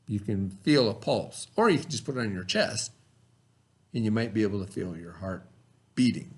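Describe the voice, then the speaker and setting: A middle-aged man reading a lesson aloud in an instructional way, pausing to explain and repeat key terms. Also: on the low side, animated. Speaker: teacher reading aloud to children.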